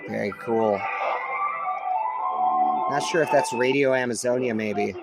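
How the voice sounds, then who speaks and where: A man's voice: mid-range voice, a little slow, loud music behind the voice. A man giving a casual, unscripted product review as a vlogger, thinking aloud with some hesitation.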